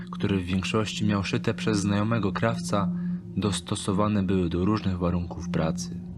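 A man narrating a dark fantasy thriller audiobook in a measured, deliberate storytelling manner. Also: deep voice. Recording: strong ambient sound behind the voice.

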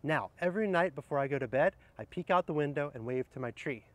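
A middle-aged man speaking outdoors, sharing picture books in a gentle, explanatory way and addressing viewers directly. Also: a little high, animated, fast. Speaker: horticulturist presenting children's books. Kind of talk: picture-book read-aloud and casual book recommendations. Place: outdoors under trees in an arboretum garden.

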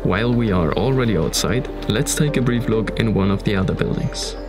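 A man with a German accent narrating a documentary about an abandoned manor in a calm, measured tone. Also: lowish voice, music in the background. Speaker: narrator of urban exploration videos.

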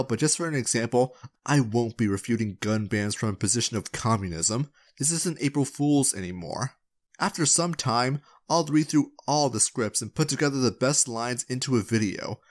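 A man with a mid-range voice speaks as a channel host. He delivers scripted voice-over straight to his viewers, with a playful manner and a close-mic sound. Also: mid-range voice. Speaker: video creator and channel host.

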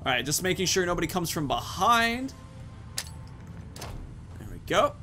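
A man in his thirties talks through a video game in a fairly high-set voice. His commentary is casual and rambling, in informal language, with game music faintly behind him. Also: sing-song, normal speed, sharp tone. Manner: rambling, informal, swears mildly. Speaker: gaming video host.

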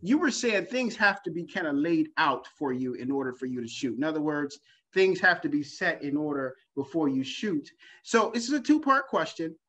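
A man's voice: on the high side, very animated, moderate pace, a bit bright. A male talk show host with an upbeat, laughing, showman-like way of introducing guests. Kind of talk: online talk show hosting. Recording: dull lo-fi video call audio.

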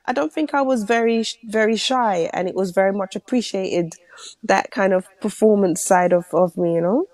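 A woman's voice: mid-range voice, normal speed, darkish tone, dry recording. A London woman, a singer, chatting in an interview about her start in music and feeling a bit unwell. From London, England. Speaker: guest singer. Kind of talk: casual interview chat.

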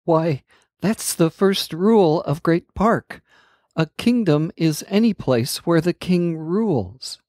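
A man's voice: fairly high pitch, expressive, slow, darkish tone, no room sound, voice pushed up. A male narrator puts on a brave young princess who speaks in a raised, eager voice. She explains things earnestly and presses with urgency, in a plain storybook reading. The sound is dry and close. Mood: explaining earnestly. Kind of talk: storybook character voice.

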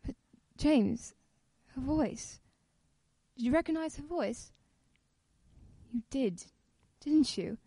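A woman acts a persistent, inquisitive wife in a radio play. Her voice is high and theatrical, earnest and probing, suspicious yet affectionate. The sound is close and dry. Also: expressive, slow pace, long pauses, warm tone, no room sound, full character voice. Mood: intrigued, earnest.

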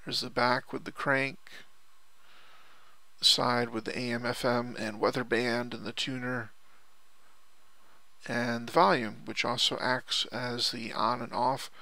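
A man's voice: mid-range voice, gravelly, pushed and bright, dry recording. A man giving casual, unscripted product commentary in a rambling, hesitant way, often repeating himself. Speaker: online product reviewer.

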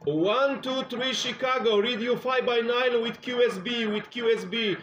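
Polish-accented man, CB operator, very high voice, repetitive radio calls, faint hiss behind. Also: fairly even intonation, a little slow, a bit bright, low-quality recording. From Poland. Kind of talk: CB radio calling.

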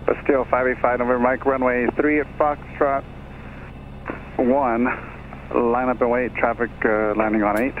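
A man's voice: mid-range voice, little pitch movement, normal speed. A male air traffic controller giving clipped procedural instructions over an aircraft radio. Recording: tinny aviation radio, faint noise.

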